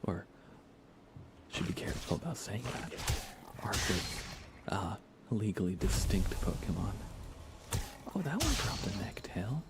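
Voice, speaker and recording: A man speaking in a soft, breathy whisper, giving unhurried, gently playful ASMR commentary while he plays a video game for viewers. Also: lowish voice, very animated, heavy background noise. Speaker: livestreamer playing a video game.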